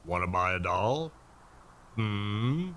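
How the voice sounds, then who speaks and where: A man's voice: lowish voice, expressive, slow, fairly bright tone. A man hosting a radio mystery show, teasing listeners with sly, macabre puns. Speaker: radio drama host. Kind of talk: spooky, punning host sign-off.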